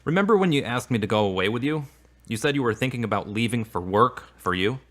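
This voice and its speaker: An actor as a frustrated and earnest man in an audio drama romance. His pitch swings in a lively way at a middling height, and his phrasing is formal and a little stilted. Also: quick pace, close to an everyday voice. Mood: guarded, hesitant.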